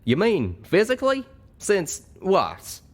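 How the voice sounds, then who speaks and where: A man's voice: high voice, huge pitch swings, slow, voice pushed up, full character voice. Man putting on a wry, folksy pony cowboy. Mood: teasing. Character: easygoing, wry male pony cowboy companion.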